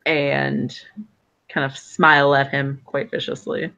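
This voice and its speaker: A woman's voice: a bass voice, little pitch movement, slow pace, gravelly, sharp tone. A woman playing a tabletop game, describing her character's moves in a drawling, self-mocking way. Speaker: player. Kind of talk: tabletop role-play. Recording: dull lo-fi call audio.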